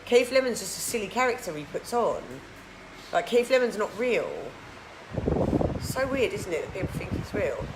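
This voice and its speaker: A tired woman with a husky voice, chatting casually on a live stream and answering viewer comments. Her throat is huskier than usual, and she rambles, filling her talk with "like". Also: mid-range voice, animated, normal speed. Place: indoors in a hot treatment clinic room. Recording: echoey room with loud background noise.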